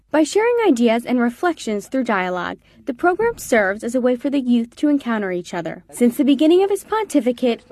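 Woman reporter, higher voice, animated scripted news read.

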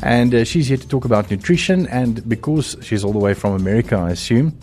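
A man's voice: medium pitch, animated, a little fast, darkish tone, faint music behind. A man with a South African accent hosting a radio talk show in a studio, chatty and rambling with many fillers.

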